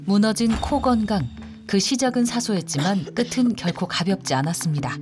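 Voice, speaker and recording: A woman narrates a documentary script in a measured, dramatic cadence, at a middle pitch. Music plays clearly behind her voice throughout.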